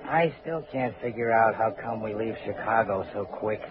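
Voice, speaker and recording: A man's voice: on the low side, normal speed, a crowd in the background, lightly acted. A man playing a blunt, uneducated, worried crook and sidekick in a 1940s radio drama, talking in streetwise slang. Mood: uneasy, questioning. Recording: scratchy, tinny 1940s radio recording.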